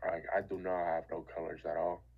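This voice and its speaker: A man's voice: deep voice, completely flat delivery, fairly quick, vocal fry. A man from the Dominican Republic with a Dominican accent, talking casually on a voice call and restarting his sentences often, Spanish being his first language. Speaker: caller. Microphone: voice chat call. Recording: muffled voice call audio.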